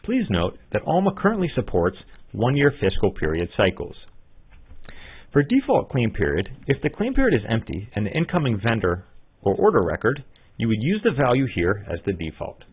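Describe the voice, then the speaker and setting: A man narrating a scripted software tutorial as an instructor, in a methodical, step-by-step way. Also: medium pitch, wildly swooping pitch, moderate pace, breathy edge. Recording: muffled, phone-like narrow-band audio.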